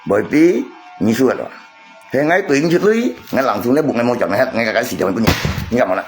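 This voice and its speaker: A man's voice: mid-range voice, lively intonation, brisk, over music. A man chatting casually on a livestream, repeating himself and arguing his point to viewers. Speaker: live streamer.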